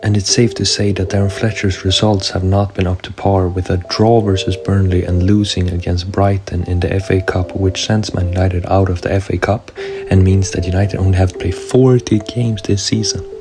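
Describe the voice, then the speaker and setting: A sick young man with a raspy voice, casually narrating a football career mode video with chatty asides. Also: sits low, flat delivery, moderate pace, over music. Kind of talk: game commentary voice-over.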